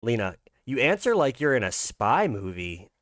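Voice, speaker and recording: A man's voice: medium pitch, big pitch swings, moderate pace, fairly bright tone, dry recording, clean studio sound. A man reading a concerned, lightly teasing wife and mother with a questioning manner in a visual novel.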